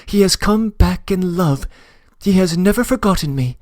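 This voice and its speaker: A man's voice: high-pitched, animated, moderate pace, darkish tone, dry recording, studio quality, pitched above the performer's own voice. A man reading aloud, voicing a young woman's tearful, loving letter with an imploring manner. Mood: tender, earnest. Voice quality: emotional, imploring. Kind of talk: audiobook reading.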